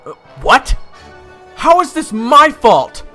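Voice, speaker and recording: A man's voice: extremely high pitch, sing-song, slow pace, pushed and bright, faint music behind, exaggerated voice. A man playing a wry, sarcastic adventurer who narrates his own fantasy tale in a conversational way. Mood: indignant, teasing. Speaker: narrator and protagonist. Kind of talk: first-person dramatic narration.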